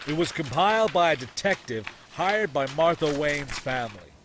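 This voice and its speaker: A man's voice: a little high, sing-song, moderate pace, fairly bright tone, a little hiss or hum. A man playing a smug, gossipy middle-aged city mayor who insinuates, in a motion comic. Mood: smug, conspiratorial.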